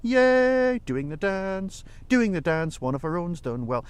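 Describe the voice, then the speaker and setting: A man's voice: high voice, big pitch swings, measured pace, clear, resonant tone. A man hosting a solo podcast in a casual, rambling way, with wry, self-deprecating asides. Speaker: podcast host and reviewer.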